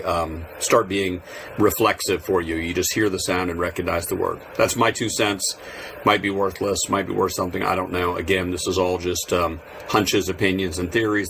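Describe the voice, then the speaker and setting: A man speaks in a low register as he casually explains things to viewers. His manner is plain and self-deprecating, like a hobbyist sharing his own take. A light, steady noise sits behind his voice. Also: low-quality recording. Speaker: Morse code hobbyist and video host.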